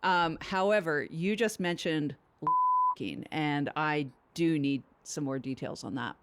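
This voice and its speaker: A breezy female podcast producer, played by a woman in improvised comedy banter. She rambles on in a chatty deadpan, her voice slightly low. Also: slow pace, smooth. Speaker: producer giving a demo.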